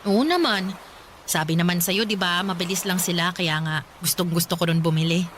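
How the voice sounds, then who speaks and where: A woman's voice: lowish voice, brisk, fairly bright tone, slight background noise, close to an everyday voice. A woman playing a frank young single woman in a Tagalog radio drama, speaking in a candid, conversational, emotive way. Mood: cheerful.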